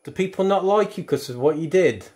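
A man calls out questions into a dark, empty pub, his voice pitched a little high. He repeats single words back and presses for answers. His talk is casual and plain. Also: expressive, brisk, faint music behind. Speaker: paranormal investigator.

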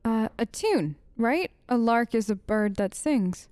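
A woman hosts a casual let's play in a fairly high voice, recorded close and dry. She takes her time, hesitant and wry as she plays along in character. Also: lively intonation, slow, a little mellow, dry recording. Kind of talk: role-play gaming commentary, casual.

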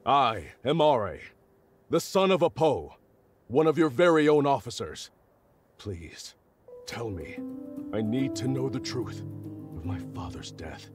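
An actor playing a proud, intense tank commander with formal military authority in a video game. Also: male voice, medium pitch, very animated, slow, pauses often, faint music behind. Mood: grave, formal. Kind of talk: English video game cutscene acting.

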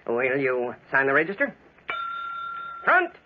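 An actor playing a deferential, obliging hotel clerk in 1940s radio style. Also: male voice, mid-range voice, huge pitch swings, slow pace. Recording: dull, noisy old radio recording.

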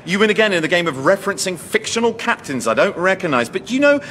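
An actor playing a fussy, insecure English airline captain in a radio sitcom, pedantic and easily indignant. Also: male voice, on the high side, fairly bright tone, in a large hall, a little hiss or hum. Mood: exasperated.

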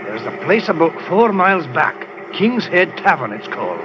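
A man's voice: a little high, big pitch swings, normal speed. An actor playing a sullen, defensive male henchman in 1930s radio drama style. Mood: grudging. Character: male henchman driving, grudging and dogged. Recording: muffled old radio broadcast with hiss.

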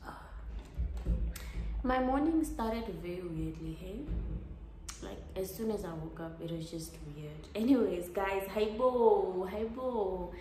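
A woman's voice: medium pitch, expressive, slow, mellow, slight background noise, poor audio. A young South African woman chatting casually to camera in a vlog, sounding tired and mixing English with isiZulu phrases. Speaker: vlogger. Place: in a car, later at home indoors.

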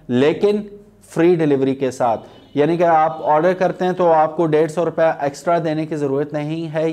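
A man's voice: a little high, darkish tone. A man, reviewing motorcycle grips in a chatty, persuasive way and mixing in English phrases, seated in a small indoor studio room. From Pakistan. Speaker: product reviewer. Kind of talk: casual product review.

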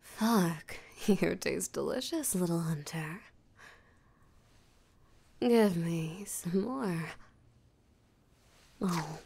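Slow, low-voiced seductive vampire woman, acted. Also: lively intonation, warm tone, slight background noise, heavily acted. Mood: hungry, menacing. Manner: teasing, breathy, often drops to a whisper. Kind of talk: roleplay audio drama, intimate acting.

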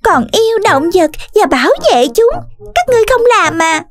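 Cartoon fish, small and sassy: very high, swooping, theatrical complaints, light music behind. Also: expressive, moderate pace, fairly bright tone. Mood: earnest. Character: sassy, dramatic little talking fish from fairyland. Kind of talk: animated children's cartoon dubbing.

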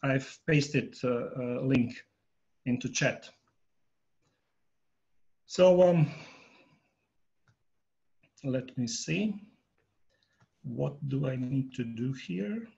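A man in his fifties, a native Croatian speaker, giving a workshop talk on a video call from home, pausing often with fillers. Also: medium pitch, animated, creaky, darkish tone, highs cut off. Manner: halting, many filler pauses, polling the audience. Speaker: workshop presenter. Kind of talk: online conference talk. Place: home room. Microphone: earphone headset on a video call.

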